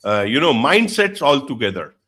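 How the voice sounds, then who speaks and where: A man's voice: medium pitch, big pitch swings, moderate pace, pushed and bright. A man hosting an online business show, welcoming his guest formally and asking emphatic questions. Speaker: host. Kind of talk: show host interviewing.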